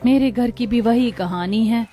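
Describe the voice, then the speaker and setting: A woman's voice: fairly high pitch, a little slow, mellow, lightly acted. A woman voicing village women of a poor household in a cartoon story. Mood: worried. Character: village women of a poor family, daughters-in-law and mother-in-law.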